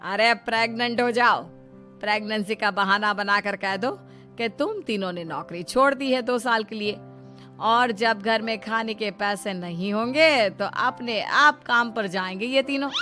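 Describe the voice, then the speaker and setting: An exasperated middle-aged mother-in-law from a cartoon moral tale, acted by a woman. Her voice sits on the high side as she complains and bosses with a schemer's firmness. Light music plays underneath. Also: unhurried, bright, forceful tone. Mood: scheming, firm. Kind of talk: cartoon story voice acting.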